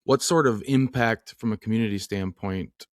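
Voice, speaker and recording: A man's voice: lowish voice, moderate pace, no room sound, studio quality. A man hosting a podcast who asks long, rambling interview questions. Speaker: podcast host.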